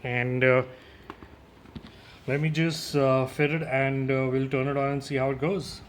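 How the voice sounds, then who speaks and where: A man's voice: medium pitch, level tone, unhurried. A man giving a casual, informal unboxing and demo as a gear reviewer, addressing his viewers directly.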